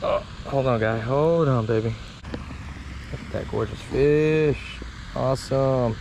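Excitable man fishing outdoors, noisy camera audio. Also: mid-range voice, slow, vocal fry. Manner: excitable, talks to viewers. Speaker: fishing vlogger. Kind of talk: casual running commentary while fishing. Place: outdoors at a park pond. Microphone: head-mounted action camera.

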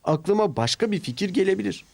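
A man's voice: high voice, lively intonation, quick pace, gravelly, a little mellow, no room sound, pitched above the performer's own voice, heavily acted. A man voicing an eager, anxious young steam engine in a children's cartoon. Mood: hopeful.

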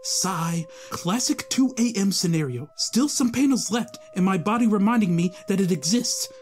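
Man doing a gloomy young cartoonist, sardonic, lively pitch, mock-dramatic, mid voice. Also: normal speed. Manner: sardonic, theatrical mock-grandeur. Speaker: narrator and lead. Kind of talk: cartoon narration and acting.

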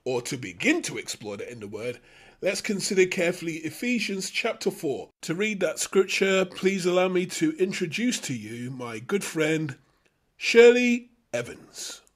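A man presents a scripted Bible study in a fairly high, husky voice. He speaks as a host and teacher, setting out each point in measured phrases with clear emphasis. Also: animated.

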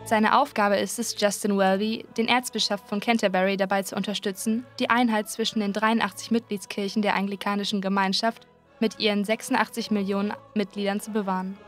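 A woman voices documentary narration with a mid-range pitch and steady, informative delivery. Soft music sits faintly beneath her voice. She reads in a clear, scripted manner. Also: a little fast. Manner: even, informative reading.

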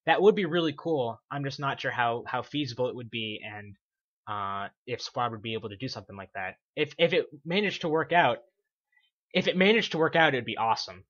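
A podcast co-host talks casually and hesitantly, with many fillers. The voice is bright, and the recording sounds dull and lo-fi. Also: medium pitch, brisk. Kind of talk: casual chat.

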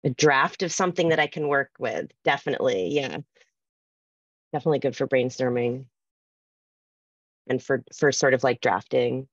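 A woman with a low voice leads an informal online workshop. She talks in a halting, think-aloud way as she reads out responses. The sound is close and dry, slightly dulled by the video call. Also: no room sound, dull audio. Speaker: presenter. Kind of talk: informal conference workshop talk, reading out poll responses. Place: video call from a room with bookshelves. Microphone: computer mic on video call.

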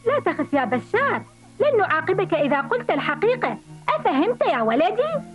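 A woman voicing a gentle, worried, affectionate insect mother in a dubbed cartoon. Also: extremely high pitch, sing-song, fairly quick, faint music behind. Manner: motherly, soft-hearted. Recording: dull lo-fi old cartoon soundtrack.

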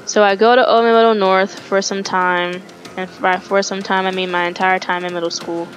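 A woman's voice: mid-range voice, little pitch movement, normal speed, soft background music, rough recording. Rambling young woman gamer, swearing. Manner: rambling, swears, self-correcting. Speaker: gaming streamer. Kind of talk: casual storytelling over gameplay. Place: home room, webcam. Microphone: headset.

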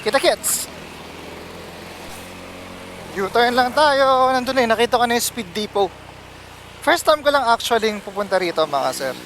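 High, bright-voiced Filipino man vlogging. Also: expressive, normal speed, long pauses, slight background noise. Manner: conversational, mixing in English phrases. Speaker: motorcycle vlogger. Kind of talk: casual vlog chat to viewers while riding. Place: outdoors, riding a motorcycle on city roads.

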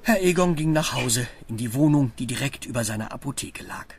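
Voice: medium pitch, expressive, fairly quick, slight background noise. A narrator reading scripted narration for a children's radio play. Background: music.

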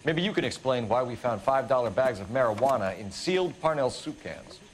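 Man playing a stern, animated young detective. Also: mid-range voice, fairly quick, lightly acted, low-quality recording. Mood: accusing, stern. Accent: American. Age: young adult. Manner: clipped, interrogating. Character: earnest, stern young male police detective. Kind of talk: video game dialogue.

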